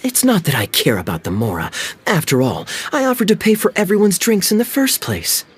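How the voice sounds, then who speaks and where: Young nobleman, game cutscene, charming, mischievous, teasing, mid pitch, expressive. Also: a little fast, slight background noise. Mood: indignant. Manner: teasing, sly, playfully commanding. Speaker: wealthy visitor at a tea house.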